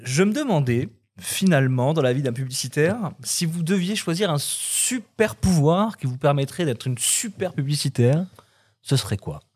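Man, podcast host, husky voice, chatty interviewer who laughs easily. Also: on the high side, animated, moderate pace, a little mellow. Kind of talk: interview hosting.

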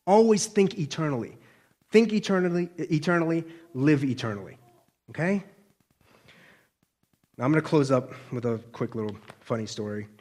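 Male preacher, slightly high voice, colloquial, conversational sermon delivery with frequent check-in questions. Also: moderate pace, pauses often, a little mellow.

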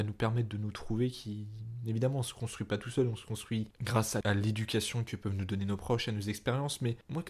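A man's voice: mid-range voice, a little mellow, husky. Casual young man hosting a podcast. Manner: informal, chatty, digressive, self-interrupting. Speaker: podcast host. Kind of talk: casual solo podcast monologue.

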